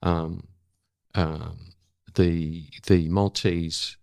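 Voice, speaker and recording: An older man, telling stories in conversation in a thoughtful, halting way that often corrects itself. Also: sits low, little pitch movement, creaky, darkish tone, smooth, no echo, studio quality. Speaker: interview guest, former journalist and actor. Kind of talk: reflective conversational storytelling.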